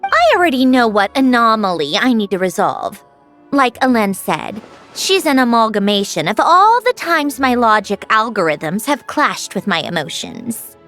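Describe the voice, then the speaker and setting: A woman playing a proud, prickly sentient machine girl in a video game, curt and impatient but secretly soft. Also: on the high side, sing-song, normal speed, soft background music. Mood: resigned, dry. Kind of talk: scripted anime-style game acting.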